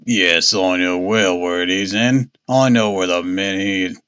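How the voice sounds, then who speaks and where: Storybook role: man playing obstinate elderly sailor, low and grumbling, rough working speech, muffled stream audio. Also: steady tone, a little slow, fairly bright tone. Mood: stubborn. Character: very old seafaring man, stubborn, indignant, grumbling.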